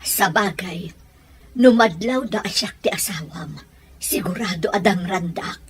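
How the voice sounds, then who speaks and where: A woman's voice: medium pitch, unhurried, crowd noise, close to an everyday voice. A woman acting a scheming schemer who questions and prods her accomplices in an audio drama. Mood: wary. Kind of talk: Ilocano radio-style audio drama acting.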